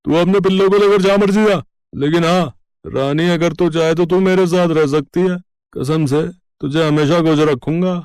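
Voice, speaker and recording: A man's voice: a little high, normal speed, darkish tone, clear, resonant tone, no echo, clean studio sound, natural voice. A man voicing a lecherous, bullying village landlord, a rude, sneering villain in a moral story. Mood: sneering, leering.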